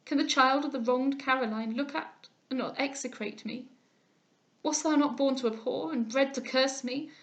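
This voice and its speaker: A woman's voice: high-pitched, normal speed. A woman reading aloud, voicing a remorseful aristocratic father with impassioned, declamatory outbursts. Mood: anguished. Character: remorseful aristocratic father, older man, anguished.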